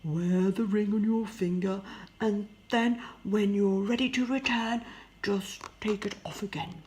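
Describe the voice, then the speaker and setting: A woman voicing a gentle, grave Beast. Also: mid-range voice, fairly even intonation, measured pace, a little hiss or hum, lightly acted. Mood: earnest. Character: gentle, lovelorn male Beast from a fairy tale.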